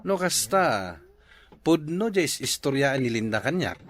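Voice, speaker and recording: A man's voice: medium pitch, very animated, unhurried. A man acting a friendly, encouraging brother-in-law in a comedy drama. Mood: approving.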